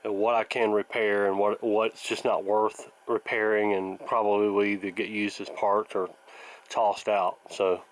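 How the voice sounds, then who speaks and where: Halting, plainspoken man thinking aloud. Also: on the low side, flat delivery, poor audio. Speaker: radio repair hobbyist and video host. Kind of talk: casual hands-on workshop commentary.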